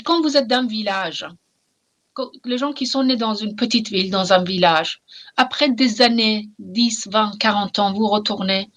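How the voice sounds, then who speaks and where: A woman's voice: mid-range voice, a bit bright. A middle-aged woman from Iran, speaking French with a Persian accent, talking passionately and reflectively as a novelist in an interview, often repeating phrases for emphasis. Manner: passionate, emphatic, with repetitions and pauses.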